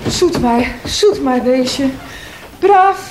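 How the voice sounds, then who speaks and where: A very high, animated voice of a fretful wife in a radio play. She pleads and exclaims anxiously. The recording is echoey, with noticeable noise. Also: drawn-out words, exaggerated voice. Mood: nervously coaxing. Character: panicky, fretful wife of a town mayor. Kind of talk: Dutch radio play acting. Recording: echoey room, clicky noisy radio recording.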